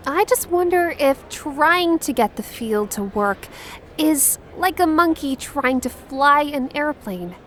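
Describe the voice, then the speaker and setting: Lady doing a high voiced teen girl, curious n friendly, bit hesitant, comic dub. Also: a bit bright. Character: friendly, curious teenage schoolgirl lab partner. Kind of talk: acted comic dub dialogue.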